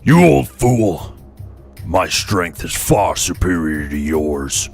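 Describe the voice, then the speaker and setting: Dark sorcerer villain. Very slow, gravelly, wrathful and arrogant, with wild swings in pitch. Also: male voice, sing-song, soft background music, full character voice. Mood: wrathful, contemptuous. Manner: menacing, arrogant, drawn-out delivery. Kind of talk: fantasy audio drama acting.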